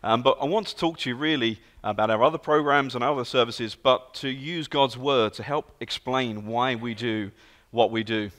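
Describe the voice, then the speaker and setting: A man's voice: mid-range voice, moderate pace. A man in his thirties with a London British accent, giving an earnest, storytelling guest sermon in a large church. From England. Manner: earnest, storytelling, lively emphasis. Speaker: guest speaker. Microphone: handheld and stage mic. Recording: long hall reverb.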